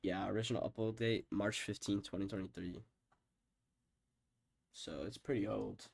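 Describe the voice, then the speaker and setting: A young man with a stuffed-up, nasal voice from being sick, rambling casually with profanity and lots of fillers, often trailing off quietly while he ranks his own tracks. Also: on the low side, fairly even intonation, normal speed, creaky, slight background noise. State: congested, sick with stuffed-up nose. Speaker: music producer ranking his own songs. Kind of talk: rambling casual screen-share commentary.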